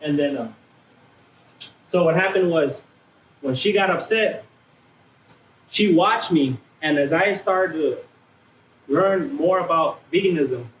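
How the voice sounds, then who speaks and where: A man's voice: fairly high pitch, slow pace, long pauses, a little hiss or hum. A man in his thirties from Hawaii, raised in Las Vegas, telling his story casually with many fillers and restarts. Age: 30s. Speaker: vegan cook and guest. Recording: echoey room from a distant mic.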